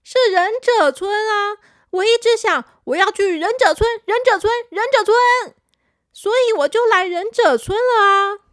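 Lady putting on a squeaky little boy, whiny and eager, storybook style. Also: big pitch swings, a little slow, a bit bright, no room sound, studio quality, heavily acted. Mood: excited.

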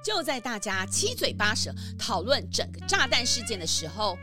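A woman narrates a children's story. Her voice is fairly high and lively, with clear music playing behind her. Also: normal speed.

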